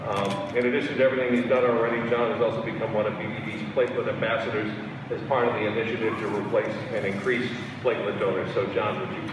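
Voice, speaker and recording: A man's voice: lowish voice, little pitch movement, fast talker. A man hosting an event, introducing a speaker in a jovial, hyped-up way. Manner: jovial, hyping the honoree. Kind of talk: public introduction. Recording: echoey hall, distant mic, crowd and noise.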